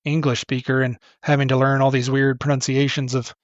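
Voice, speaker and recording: A middle-aged man, a podcast guest, talking casually in a relaxed, rambling way with many restarts. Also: mid-range voice, brisk, no echo, highs cut off. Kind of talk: casual interview talk.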